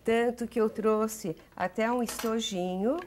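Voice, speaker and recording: A middle-aged woman with a São Paulo accent, a watercolor artist answering interview questions in a relaxed, chatty way. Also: a little high, measured pace, a little hiss or hum. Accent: Paulistano Brazilian Portuguese. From São Paulo, Brazil.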